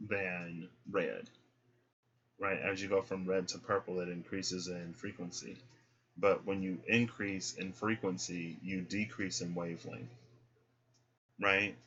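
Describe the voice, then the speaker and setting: A man giving a casual spiritual tarot reading, explaining each card in a relaxed way and often tagging "right?" onto his points. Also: low-pitched, slow. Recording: dull lo-fi sound.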